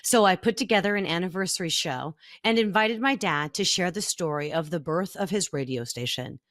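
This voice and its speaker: American woman, lower voice, middle-aged, scripted narration, close dry recording. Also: a little fast, fairly bright tone, no echo. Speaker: narrator, former radio broadcaster.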